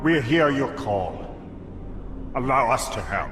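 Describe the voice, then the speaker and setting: A man's voice: mid-range voice, expressive, slow. A man playing an earnest, resolute warrior in a video game, speaking solemnly and formally. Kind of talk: video game cutscene acting. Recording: ominous music behind.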